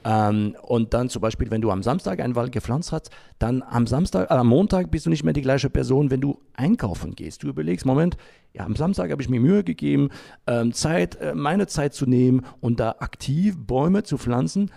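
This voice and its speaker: A man's voice: medium pitch, expressive, creaky, dark tone. A man giving unscripted interview answers in speech, often hesitating as he searches for words. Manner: halting, searching for words with frequent long hesitations. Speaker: interviewee, founder of an environmental association.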